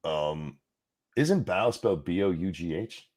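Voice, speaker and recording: A man's voice: sits low, animated, a little slow, a little mellow. A man co-hosting a casual political commentary show, reading news aloud and breaking off into sarcastic, profane asides. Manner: sarcastic, swears freely, jumps from dry reading to mocking asides. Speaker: co-host.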